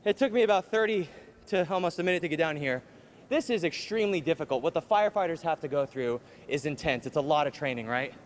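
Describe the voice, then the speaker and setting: A man's voice: fairly high pitch, expressive, fast talker. Upbeat male field reporter, echoey garage. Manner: upbeat, exclaims often. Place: large fire station garage. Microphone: handheld mic. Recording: echoey garage with background noise.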